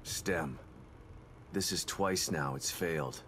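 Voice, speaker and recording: Grim middle-aged American game detective, very deep voice, brooding, faint noise behind. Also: male voice, slow, a bit bright, heavily acted. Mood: bitter, skeptical. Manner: brooding, skeptical. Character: weary, grim, guarded male detective father. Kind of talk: video game cutscene acting.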